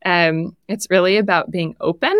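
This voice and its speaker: A woman's voice: lowish voice, fairly even intonation, normal speed, no room sound, clean studio sound. A woman speaking conversationally as an interview guest, giving practical advice as a product leader and coach. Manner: laughs lightly while talking.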